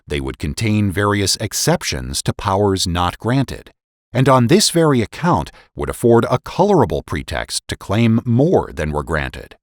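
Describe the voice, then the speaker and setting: Male narrator, lower register, very animated intonation, formal oratorical delivery for a dramatic essay reading. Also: a little slow, no echo, studio quality. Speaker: podcast host and narrator.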